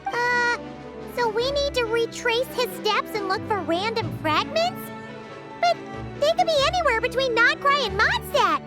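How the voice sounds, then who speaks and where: Squeaky, tiny, excitable fairy. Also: female voice, a little slow, music in the background. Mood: baffled, surprised. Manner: exclaiming, questioning. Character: tiny childlike fairy companion, excitable and impatient. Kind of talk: cartoonish video game acting.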